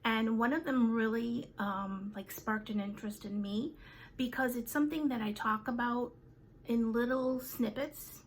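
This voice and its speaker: Vlogger, woman, 50s, talkative and unscripted, somewhat high voice. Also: slight background noise. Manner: chatty, with many fillers and trailing pauses. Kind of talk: casual chat to camera.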